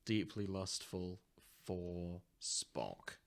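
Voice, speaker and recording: A man's voice: deep voice, expressive, drawn-out words, gravelly. A man co-hosting a podcast, chatting casually with friends in a teasing, jokey way. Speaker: podcast co-host. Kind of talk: casual chat among friends.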